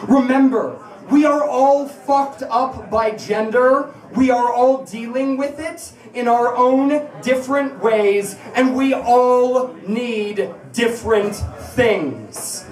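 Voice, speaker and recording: A woman's voice: on the high side, darkish tone. Emphatic woman performing spoken word, echoey and distant. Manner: emphatic, rhetorical, confrontational, with deliberate repetition. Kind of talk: impassioned spoken-word reading to a live audience. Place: indoors at a bar, live event. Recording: echoey bar room, distant microphone.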